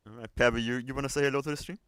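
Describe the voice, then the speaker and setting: A man's voice: mid-range voice, fairly even intonation, rapid-fire, a bit bright, clean tone, no room sound. A man hosting a casual livestream chat, talking in a hesitant, rambling way. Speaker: livestream host.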